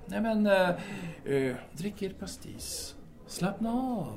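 A man's voice: high-pitched, lively intonation, a little mellow. A man playing a detached, placating philosopher in an audio drama, hesitant and repeating himself as he reasons abstractly.

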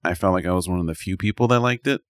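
A man's voice: sits low, rapid-fire, dry recording, studio quality. A man in a casual, rambling chat about video games as a podcast co-host, speaking colloquially with lots of "like".

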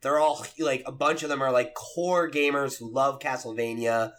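Bright-voiced young man chatting casually. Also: medium pitch, fairly even intonation, moderate pace. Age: young adult. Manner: frequent "like" and "you know", profane. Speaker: podcast host. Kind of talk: casual chat about games and films.

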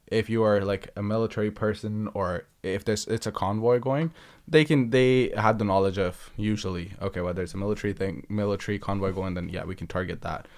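A man's voice: lowish voice, moderate pace. A man guesting on a podcast, explaining at length in casual chat, restarting sentences and leaning on fillers.

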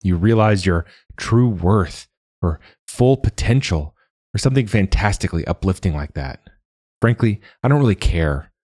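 A man voicing a gruff male detective who speaks curtly and dismissively. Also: sits low, animated, fairly quick, few pauses, a little mellow, no room sound, clean studio sound. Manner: curt, dismissive.

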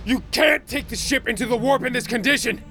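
Man playing a frantic, high-pitched pleading space pirate. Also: a little fast, over loud music. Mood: frantic alarm. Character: desperate male space pirate pleading for his life. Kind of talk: audio drama acting.

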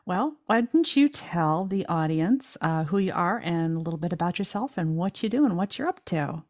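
A woman's voice: animated, normal speed, no echo, studio quality. A woman hosting a farming podcast, introducing the show and asking upbeat questions. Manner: bright upbeat questions, pitch rising. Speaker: podcast host and farmer.

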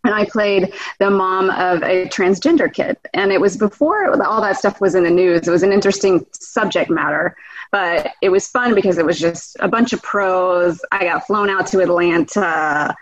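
Husky middle-aged woman. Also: lowish voice, moderate pace. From Texas, grew up in Houston. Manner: easygoing, laughing, down-to-earth. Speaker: podcast guest, actress. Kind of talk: casual interview chat. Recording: dull lo-fi audio.

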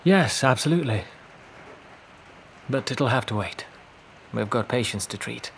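An actor playing a weary, conscientious doctor in a naturalistic 1940s audio drama, speaking in a low-key, hesitant way. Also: male voice, expressive, unhurried, vocal fry, slight background noise. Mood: thoughtful. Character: weary, conscientious male Norwegian doctor in 1945.

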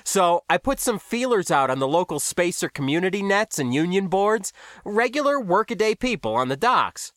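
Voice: medium pitch, big pitch swings, normal speed, a bit bright, dry recording, studio quality, voice pushed up. A storybook narrator voicing a genial, self-satisfied male spacer who talks in a wry, articulate way. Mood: smug, amused.

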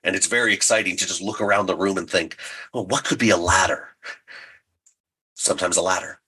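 A man's voice: low-pitched, a little fast, sharp tone, breathy edge. A man speaking as an interview guest, chatting enthusiastically as a writer and creator about his work.

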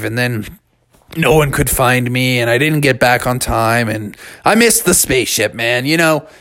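Guy as laid-back spaceman, chatty and breezy, bit creaky, storybook voice. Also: a little high, a bit bright, no echo. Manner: breezy, chatty, says "man". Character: easygoing stranded male spaceman, friendly and laid-back.

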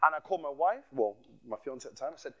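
A man from Nigeria delivers a sermon as a guest preacher, with a voice pitched a bit high for a man. He preaches with passion, circles back to repeat his phrases for emphasis and laughs at himself. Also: lively intonation, fast. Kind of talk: sermon with personal testimony.

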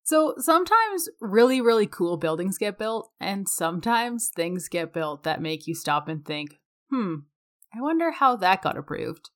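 A woman's voice: medium pitch, very animated, unhurried, a bit bright. A woman co-hosting a conversational podcast, speaking in an opinionated, emphatic way. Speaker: podcast co-host.

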